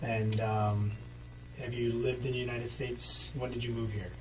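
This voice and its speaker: A man's voice: mid-range voice, flat delivery, unhurried. A male police detective asking procedural interview questions in a methodical, hesitant way. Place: indoor police interview room. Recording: noisy distant interrogation room recording.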